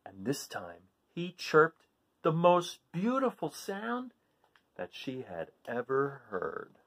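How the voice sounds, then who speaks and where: Animated middle-aged man reading a picture book. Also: on the high side. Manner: theatrical, with dramatic pauses and hushed phrases. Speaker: read-aloud storyteller. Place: small living room at home.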